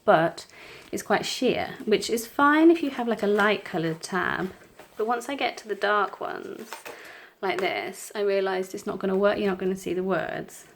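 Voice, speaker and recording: Croaky woman with a cold chatting. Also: medium pitch, normal speed, a little hiss or hum. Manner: rambling, apologetic, frequent ums. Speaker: planner vlogger. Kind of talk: casual chat over crafting. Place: home craft desk, indoors.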